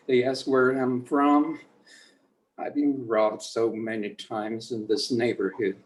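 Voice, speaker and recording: A man's voice: medium pitch, darkish tone, close to an everyday voice, poor audio. A man playing a battered, resigned ninety-year-old Mexican man in a screenplay table read, sounding weary.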